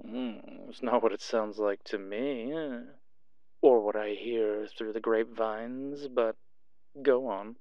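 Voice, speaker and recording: A sly male fixer for an audio roleplay, acted by a man, drawling very slowly and theatrically. He is coy and teasing, always prying, and the dry recording sounds slightly dull. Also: on the low side, steady tone, no echo. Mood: prying, delighted.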